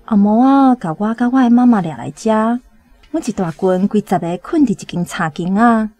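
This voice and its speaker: A woman's voice: mid-range voice, animated, normal speed, mellow, dry recording, lightly acted. A woman with a Taiwanese accent playing a sorrowful young chieftain's daughter in a radio drama. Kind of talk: first-person radio drama monologue.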